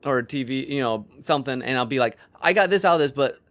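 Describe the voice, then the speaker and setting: A man speaks as a podcast co-host in an informal discussion. His delivery is halting and exploratory, as he works out his thoughts aloud and often restarts mid-sentence. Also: medium pitch, expressive, fairly bright tone. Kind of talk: casual discussion of storytelling.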